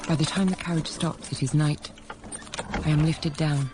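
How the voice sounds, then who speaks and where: An actress playing a grave woman narrating her bleak childhood in a literary drama, in measured, sombre short phrases. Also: low voice, steady tone, over music, natural voice. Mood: sombre, reflective.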